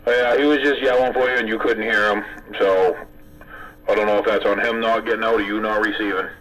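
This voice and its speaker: A man's voice: on the low side, steady tone, brisk, fairly bright tone, rough recording. A man chatting casually over a CB radio, joking, laughing and swearing. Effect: CB radio transmission. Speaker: CB radio operator, channel host.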